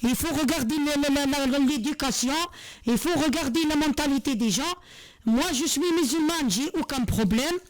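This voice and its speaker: Earnest high-voiced woman from Algeria. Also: normal speed. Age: 40s. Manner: earnest, repetitive, many hesitations and restarts. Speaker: vlogger. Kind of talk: heartfelt monologue to camera.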